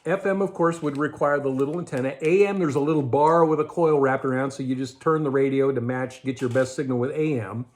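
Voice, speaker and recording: A man's voice: medium pitch, fairly even intonation, a little fast, few pauses. A middle-aged man with an American accent explains things conversationally, like a host reviewing gear informally to camera. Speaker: host of a budget prepping show. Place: indoors in a garage or storage room with shelves.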